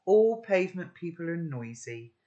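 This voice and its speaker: A woman's voice: fairly low pitch, sing-song, moderate pace, warm tone, dull audio. Stern rooftop boy, read by an older woman. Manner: curt and commanding. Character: guarded, stern rooftop-dwelling teenage boy.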